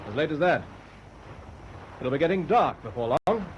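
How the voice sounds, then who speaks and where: An actor playing a decisive British warship captain in a wartime radio play, brisk and authoritative. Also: male voice, fairly high pitch, very animated. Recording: muffled, noisy old radio drama recording.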